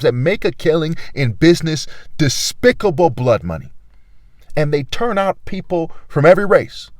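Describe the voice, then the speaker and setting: Ranting man with a dry close sound. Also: no room sound. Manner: ranting, swearing, swings between low murmur and loud outbursts. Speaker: vlogger. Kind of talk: angry rambling monologue.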